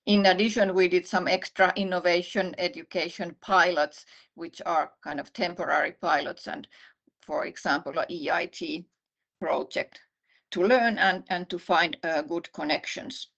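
Vice-dean, middle-aged woman, Finnish accent, low-pitched, halting measured delivery, dull video-call sound. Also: fairly even intonation, fairly bright tone. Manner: measured, many "uh" pauses and restarts. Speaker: university vice-dean presenting. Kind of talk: online conference presentation. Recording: slightly muffled video call audio.

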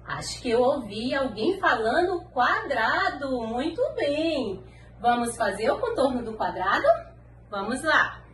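A Brazilian woman in her thirties, a preschool teacher speaking slowly and encouragingly to small children in an online lesson. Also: high voice, animated, a bit bright. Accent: Brazilian Portuguese. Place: classroom wall with posters, indoors. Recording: echoey room, faint background noise.

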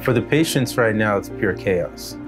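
A man's voice: fairly low pitch, expressive, normal speed, over music. A man giving a documentary interview as part of a medical team.

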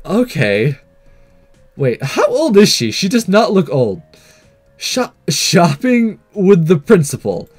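A man's voice: high voice, wildly swooping pitch, slow pace, breathy edge, soft background music. A man doing a let's play, reading visual novel dialogue aloud in a theatrical way with casual asides. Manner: theatrical read-aloud, acting out the characters. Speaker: let's player.

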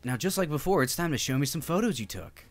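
Guy streaming a game, thinks out loud, voice jumps around a lot, bit of music behind him. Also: on the high side, lively intonation, fast. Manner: jokey, profane, thinks aloud. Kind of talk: casual gaming commentary, reading game text aloud. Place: indoor streaming setup. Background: video game music.

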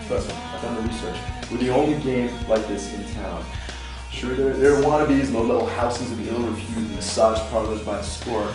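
A man's voice: medium pitch, normal speed, close to an everyday voice. Man playing a slick club boss, echoey. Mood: slick, confident. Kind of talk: table read of a TV drama. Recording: roomy echo, distant mic, music behind.

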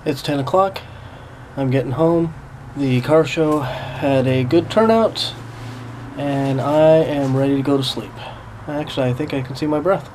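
A man's voice: on the high side, a little slow, rough recording. A man in his forties chatting casually to a handheld camera held at arm's length while he walks outdoors. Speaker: vlogger. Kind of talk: casual vlog narration. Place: outdoors on a hiking trail and in a parking lot. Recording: outdoor camera audio with steady background noise.

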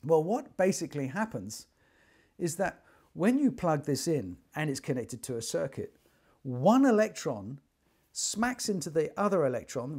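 A man's voice: on the high side, a little mellow. Older man explaining things informally, emphatically. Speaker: loudspeaker designer explaining his craft. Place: small furnished sitting room indoors.

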